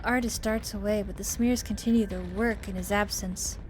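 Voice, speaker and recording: A woman's voice: mid-range voice, fairly even intonation, normal speed, slight background noise. A woman reading visual novel text aloud expressively, with casual commentary as she plays. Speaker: gamer narrating a visual novel playthrough. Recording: music behind the voice. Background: game music.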